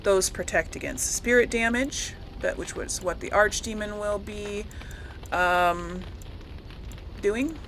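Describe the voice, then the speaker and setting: A woman's voice: mid-range voice, slow pace, a bit bright, slight background noise, poor audio. A woman giving casual, rambling commentary as she plays a game, often correcting herself and lightly amused. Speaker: gaming host.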